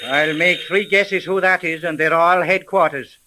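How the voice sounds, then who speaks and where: A man playing a wisecracking, commanding homicide sergeant in a 1930s radio drama, with colloquial, brisk speech. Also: on the high side, fairly even intonation, background noise, lightly acted. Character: gruff, wisecracking male police homicide sergeant.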